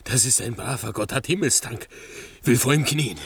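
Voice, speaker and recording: An actor playing a wretched, gullible, servile island monster in a radio play, pleading and worshipful. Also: male voice, mid-range voice, moderate pace, vocal fry, breathy edge. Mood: awed worship. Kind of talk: 1960s German radio play acting.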